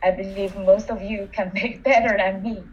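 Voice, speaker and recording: A woman whose first language is not English, earnestly sharing her experience as a coaching student, halting and restarting as she speaks. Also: medium pitch, steady tone, muffled recording, a little hiss or hum. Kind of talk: sharing a personal testimony.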